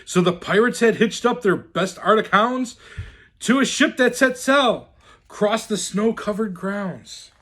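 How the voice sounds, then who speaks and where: A man reading a rhyming children's storybook aloud in a theatrical, deliberate, expressive way. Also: high-pitched. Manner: theatrical, deliberate, swooping delivery. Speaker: storyteller in the role of Santa.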